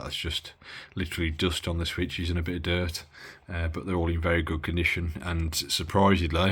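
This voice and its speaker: A man giving a casual, rambling bench-test walkthrough of an old radio, often pausing and correcting himself. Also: low-pitched, a bit bright, husky. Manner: rambling, self-correcting, lots of filler. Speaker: radio hobbyist reviewing gear.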